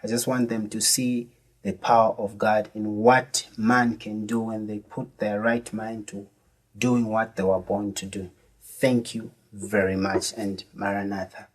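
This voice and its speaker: A gospel musician from Zambia speaking gratefully and softly, thanking supporters and introducing his song. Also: on the low side, level tone, measured pace. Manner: grateful, soft-spoken. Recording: loud music behind the voice.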